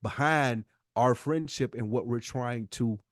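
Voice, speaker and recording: A man's voice: mid-range voice, unhurried, dry recording, clean studio sound. An American man co-hosting a podcast in a candid heart-to-heart, speaking earnestly and emphatically and repeating himself to make his point. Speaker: podcast co-host.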